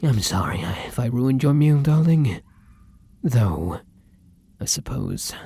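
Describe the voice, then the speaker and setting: A man playing a hungry, affectionate yet menacing vampire lover in an ASMR roleplay, speaking in a formal, theatrical way that often drops to a husky whisper. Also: lowish voice, a little mellow, dry recording, heavily acted. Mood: disgusted, apologetic, then seductive, menacing.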